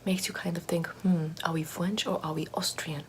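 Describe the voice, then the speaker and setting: A woman's voice: lowish voice, fairly even intonation, moderate pace, noisy background, close to an everyday voice. A woman whispering as a vain, pompous aristocratic lady doing makeup in an ASMR roleplay, in a haughty, self-admiring, gossipy way.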